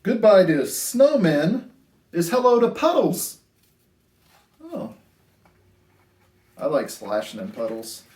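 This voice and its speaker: Man, storytime reader, slow sing-song pacing, lively swooping intonation, echoey room. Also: high voice. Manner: animated, sing-song delivery with drawn-out phrases. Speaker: storytime host reading picture books to children. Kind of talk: read-aloud children's storytime, slow and expressive. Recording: roomy, echoey indoor sound.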